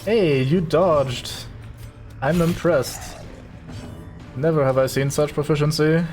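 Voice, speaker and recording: A man's voice: on the high side, steady tone, slow pace, darkish tone, soft background music. A man from Germany with a German accent, giving casual, sarcastic commentary as he streams a game and swears at it.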